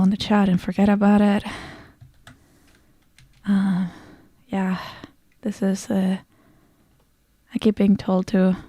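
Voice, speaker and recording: Soft, creaky-voiced woman streaming ASMR. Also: mid-range voice, no room sound, studio quality. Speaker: livestreamer greeting chat and hosting a game stream. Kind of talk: soft-spoken ASMR, casual livestream chat.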